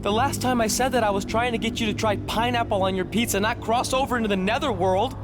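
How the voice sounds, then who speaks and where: A man's voice: sits high, steady tone, fast talker, music in the background, natural voice. A man playing an exasperated, skeptical young reluctant hero in a video game, with a sarcastic manner. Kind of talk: video game cutscene acting.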